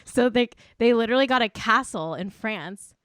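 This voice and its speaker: A giggly woman co-hosts a casual chat show with a higher-pitched voice. Her talk is loose and chatty, with a casual swear here and there. The sound is close and dry, with no room in it. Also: expressive, normal speed, a bit bright, clean tone, no room sound. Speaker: co-host.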